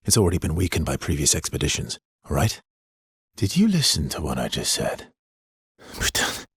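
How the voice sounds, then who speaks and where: A guarded, world-weary immortal expeditioner in a video game drama. His voice is very husky and deep, moving expressively between soft hesitance and protective earnestness, recorded dry and close. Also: wildly swooping pitch, normal speed, no echo, full character voice. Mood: urgent, earnest. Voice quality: husky, breathy, gravelly low voice. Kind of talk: dramatic video game voice acting, naturalistic and understated.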